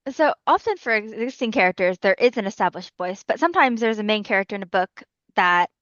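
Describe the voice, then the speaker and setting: A woman asks curious, friendly questions at a medium pitch. Her voice comes through muffled and lo-fi, like a call recording. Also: expressive, fast talker, fairly bright tone. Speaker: interviewer. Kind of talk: interview questions.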